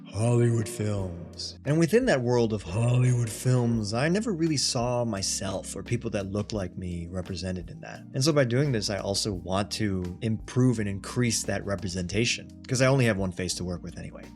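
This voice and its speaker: A man's voice: on the low side, expressive, moderate pace, hardly any pauses. A man in his thirties from Thailand, talking casually and self-deprecatingly about his life in a personal vlog. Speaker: actor and content creator. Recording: faint background music.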